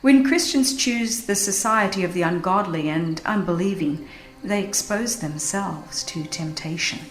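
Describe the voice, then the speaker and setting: A woman reading a religious audiobook as a dramatized narration, with solemn, emphatic and deliberate phrasing. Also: on the low side, reverberant, soft background music.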